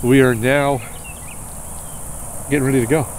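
A man in his forties vlogging outdoors from an RV campground, chatty and excitable, rambling with restarts. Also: mid-range voice, measured pace, slight background noise. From New Hampshire. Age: 40s. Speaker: RV travel vlogger. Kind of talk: casual vlog talk to camera.

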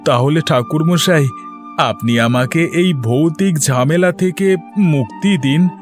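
A man voicing an anxious gentleman who pleads deferentially for help in a cartoon horror story. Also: on the high side, pitched above the performer's own voice.

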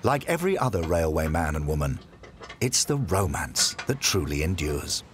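A man giving scripted documentary narration in a measured, storytelling delivery. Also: deep voice, big pitch swings, background noise. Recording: voice-over with background music.

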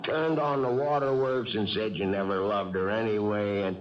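Man as a deep, fatherly baseball manager. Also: steady tone, normal speed, close to an everyday voice. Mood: reassuring. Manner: folksy, avuncular, plainspoken. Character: fatherly, shrewd older baseball manager, reassuring. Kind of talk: 1970s radio drama acting. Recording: dull old radio recording, crowd behind.

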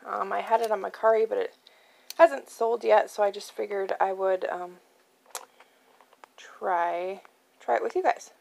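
A woman's voice: medium pitch, steady tone, a little slow, creaky, pauses often, darkish tone. A woman hosting a casual, chatty show-and-tell in an informal, tentative way, thinking aloud as she goes. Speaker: craft channel host previewing auction items. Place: indoors at home, at a card table. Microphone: handheld phone.

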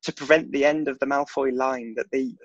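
A man's voice: mid-range voice, fairly even intonation, fairly quick, dry recording, lightly acted. A young British man playing a nervous, chatty, self-deprecating schoolboy wizard in a play reading, with a hesitant, stammering delivery. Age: young adult. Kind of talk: theatrical table read. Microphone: video call. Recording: dull video-call audio.

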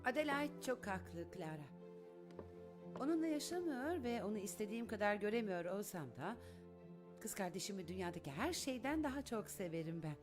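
A woman's voice: mid-range voice, animated, normal speed, music in the background, natural voice. A woman voicing a proper, fretful yet caring lady in a dubbed children's cartoon. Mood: sincere.

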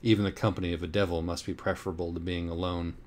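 A man with a low-pitched voice narrates an audiobook. He delivers absurd, sometimes profane literary prose with solemn, deadpan drama. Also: fast. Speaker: audiobook reader. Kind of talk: dramatic scripted narration of a parody novel.

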